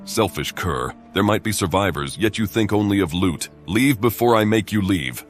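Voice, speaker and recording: An actor voicing a stern, menacing noble knight in a video game, speaking in a grave, formal, terse way. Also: male voice, lowish voice, lively intonation, normal speed, faint music behind, close to an everyday voice. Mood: stern, threatening.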